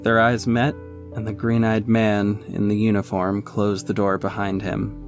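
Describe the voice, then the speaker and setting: A man's voice: on the low side, completely flat delivery, a little slow, faint music behind. A man narrating an audio drama as its reflective hero, in scripted storytelling style. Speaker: narrator and protagonist.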